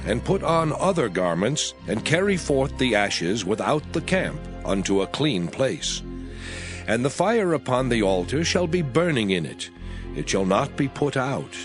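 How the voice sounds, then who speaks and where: Solemn man reading scripture with lively intonation. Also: medium pitch. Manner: solemn, measured, declamatory. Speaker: scripture reader.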